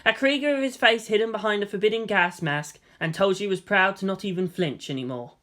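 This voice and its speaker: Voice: mid-range voice, a little fast, pushed and bright. A narrator reading fan fiction aloud as scripted drama, with a theatrical, solemn delivery. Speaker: story narrator.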